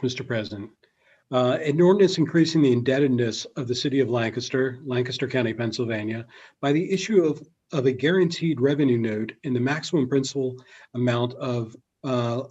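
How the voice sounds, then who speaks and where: A man formally reading a city ordinance aloud in a steady recitation. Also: medium pitch, husky. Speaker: city official reading legislation. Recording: muffled remote audio.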